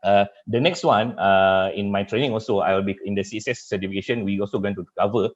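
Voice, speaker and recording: Dull, muffled webinar audio carries a deep-voiced man with a Malaysian accent, a native Malay speaker. He is a trainer walking through a live software demonstration. His delivery is halting, padded with repeated filler phrases. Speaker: cybersecurity trainer. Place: online webinar with screen share.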